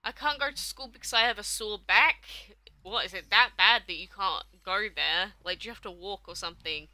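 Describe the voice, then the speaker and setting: A woman's voice: medium pitch, a little fast, sharp tone, no echo. A young woman, streaming a game live and chatting casually as she answers viewers' questions. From England. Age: young adult.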